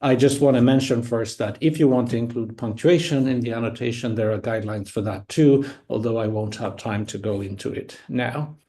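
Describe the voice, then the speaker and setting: A man whose first language is Swedish, giving an academic conference talk online in a measured, explanatory way with small restarts. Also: mid-range voice, dark tone. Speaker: conference speaker.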